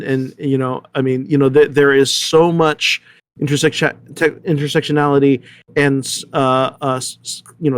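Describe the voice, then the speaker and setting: An American man speaking earnestly in a panel discussion, halting and restarting as he searches for words. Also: mid-range voice.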